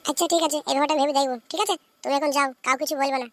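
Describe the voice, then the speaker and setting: A woman acting as a coy, defiant young Bengali village wife from a comic cartoon. Her voice sits extremely high and races along, wheedling one moment and bickering the next. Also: steady tone, rapid-fire, fairly bright tone, no room sound. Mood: pleading, defiant.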